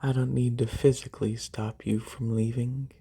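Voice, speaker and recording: A performer voicing a haughty, wealthy lamia noble in a breathy, soft-spoken ASMR roleplay, condescending and unhurried, close on a binaural mic. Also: medium pitch, level tone. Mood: calm menace. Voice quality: breathy, whispery.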